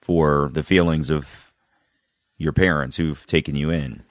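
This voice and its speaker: Guy hosting a podcast, pretty low voice, dry close sound. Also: steady tone, measured pace, dry recording. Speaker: podcast host. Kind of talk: scripted narration and conversational interviewing.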